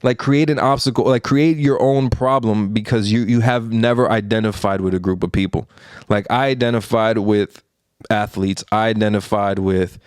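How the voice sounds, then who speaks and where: A man's voice: on the low side, fairly even intonation, normal speed, darkish tone, clear, resonant tone, dry recording, studio quality. A man in his thirties co-hosting a podcast, giving his opinions in a rambling, earnest way with many fillers and restarts. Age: 30s. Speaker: podcast co-host. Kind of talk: casual opinion chat.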